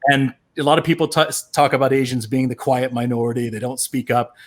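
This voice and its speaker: A man's voice: mid-range voice, little pitch movement, fairly quick. A middle-aged man on a discussion panel, an outspoken storyteller who acts out the voices of the people he quotes. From USA. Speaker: actor and panel guest.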